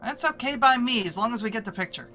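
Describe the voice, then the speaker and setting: Woman playing a brash, lively radio-drama newswoman. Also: fairly high pitch, fast talker, faint music behind. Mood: bossy. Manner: snappy, slangy, wisecracking. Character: brash, bossy woman news photographer chasing trouble. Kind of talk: old-time radio drama acting.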